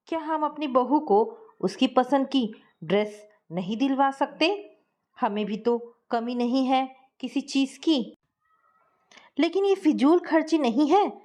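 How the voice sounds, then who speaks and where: Storybook husband, middle-aged man, calm and soothing, reasonable, read by a woman narrator. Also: high voice, slow pace. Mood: reassuring.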